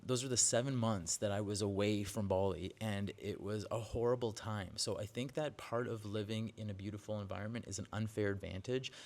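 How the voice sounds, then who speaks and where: Forties guy vlogging, kinda low voice, rambly and candid, lots of likes. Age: 40s. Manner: chatty, candid, with frequent likes and restarts. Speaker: yoga teacher. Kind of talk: casual vlog monologue to camera. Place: outdoors with birds nearby.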